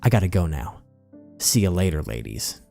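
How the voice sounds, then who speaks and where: Man acting casual young guy, low voice. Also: sing-song, normal speed, vocal fry, dark tone, faint music behind, exaggerated voice. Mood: cool. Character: smooth, casual young man greeting ladies.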